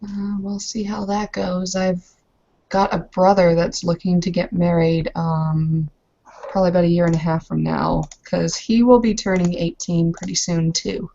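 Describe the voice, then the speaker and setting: A woman's voice: fairly low pitch, steady tone, normal speed, gravelly, dark tone, muffled recording. A woman casually roleplaying as a player in a tabletop game session.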